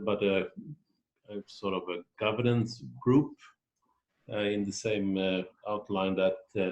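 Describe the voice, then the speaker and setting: A man's voice: fairly low pitch, darkish tone. A man in a work meeting on a conference call, explaining things hesitantly and repeating words as he goes. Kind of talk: meeting discussion.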